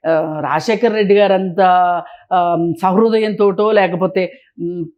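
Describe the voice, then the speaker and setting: A woman's voice: medium pitch, steady tone, measured pace, lo-fi sound. A woman speaking as an interview guest, giving critical, opinionated political commentary in a colloquial, conversational way.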